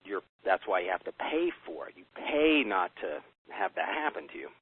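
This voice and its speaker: An American man gives an emphatic business pitch on a conference call, asking himself rhetorical questions and answering them. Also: very animated, moderate pace, slightly rough. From United States. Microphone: telephone. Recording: tinny phone line.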